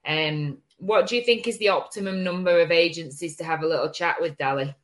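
A woman's voice: on the low side, brisk, crowd noise. A woman hosting a marketing show as a casual chat, talking in an informal, wry way. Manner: informal, wry, with mild swearing. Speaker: host of a marketing agency live show.

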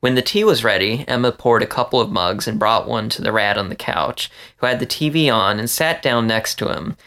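Creaky-voiced man reading a story. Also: fairly low pitch, a little fast, pushed and bright. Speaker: story reader. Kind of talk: scripted narration, audiobook-style storytelling.

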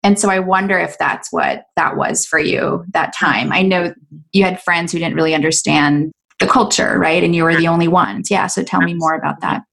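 A woman's voice: lowish voice, fairly even intonation, moderate pace, vocal fry, fairly bright tone. Woman hosting a podcast interview. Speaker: podcast host. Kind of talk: interview conversation.

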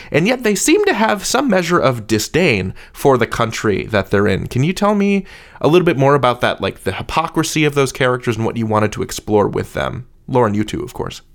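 Articulate male interviewer, mid-pitched. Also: sing-song, a little fast. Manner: articulate, wordy, builds up long questions. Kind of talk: conversational interview questioning.

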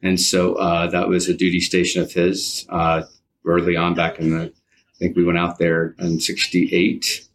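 A man in his fifties answering as an interview guest in a conversational, halting and reflective way. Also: low-pitched, monotone, fairly bright tone, slight background noise. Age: 50s.

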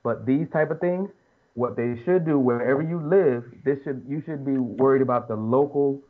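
A man's voice: medium pitch, normal speed. A man in his late thirties talking casually and earnestly as a podcast panelist, making his points emphatically in colloquial speech. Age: late 30s. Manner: earnest, emphatic, colloquial, repeats and rephrases as he argues. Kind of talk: casual opinionated panel talk. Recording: muffled lo-fi audio.

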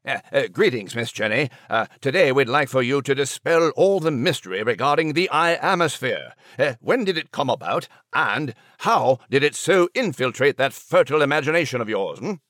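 A man's voice: mid-range voice, lively intonation, normal speed, few pauses, a bit bright, no room sound, clean studio sound, close to an everyday voice. A man voicing a proper, formal male animal character, hesitating politely. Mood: courteous.

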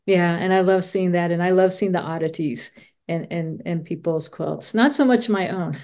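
A woman's voice: on the low side, normal speed, darkish tone. A woman hosting a casual podcast interview, effusive and rambling, rephrasing her thoughts as she goes.

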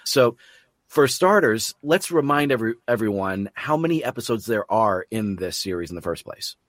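A man with a fairly low voice hosts an interview podcast. He speaks with open enthusiasm and effusive praise, and the sound is close and dry, with hardly any room around it. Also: lively intonation, normal speed, no echo. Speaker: podcast host. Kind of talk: interview show hosting.

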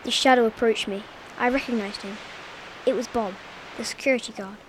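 A woman presenting a community radio show and reading a spooky story in a clear, steady way. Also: a little high, brisk, noisy background.

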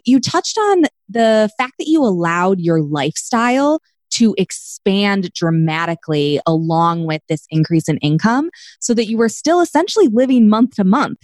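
A woman's voice: mid-range voice, darkish tone, dry recording, studio quality. A woman hosting an interview podcast, candid and laughing easily as she frames long questions. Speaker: podcast host. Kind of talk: conversational interview.

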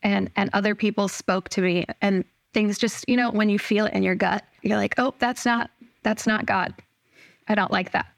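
A woman in her thirties, a podcast guest telling her personal story in a reflective, relaxed way. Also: mid-range voice, moderate pace, creaky, no echo. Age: 30s.

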